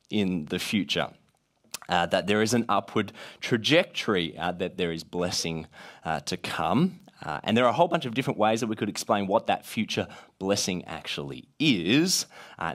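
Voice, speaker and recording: A man with a gravelly voice from being a bit sick, leading a casual talk to a group with many "uh" fillers. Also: fairly low pitch. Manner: many "uh" fillers, lively rising emphasis.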